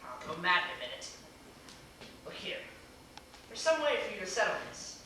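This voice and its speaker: A woman playing a flustered, indignant young man in an amateur stage comedy, with halting, formal, exasperated speech. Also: fairly low pitch, sing-song. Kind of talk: amateur one-act comedy stage acting. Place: theater stage, recorded from far off. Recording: distant, echoey, noisy stage video.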